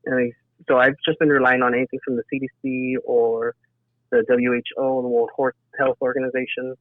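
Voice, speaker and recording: A man speaks in an earnest, conversational way, as a guest giving his own account. His voice sits at a medium pitch. The sound is dry and narrow, like a telephone call. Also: flat delivery, a little fast, dry recording. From south Texas, United States. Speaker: interview guest, emergency dispatcher. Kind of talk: casual personal account in a podcast interview. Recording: thin phone-like band-limited sound.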